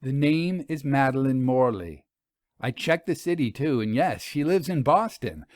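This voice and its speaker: Man reading as a loyal, patient woman assistant, animated. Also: medium pitch, a little slow, no room sound, natural voice. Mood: revealing. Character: Lida, loyal, reassuring young woman, detective's assistant and narrator. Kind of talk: audio storybook first-person reading.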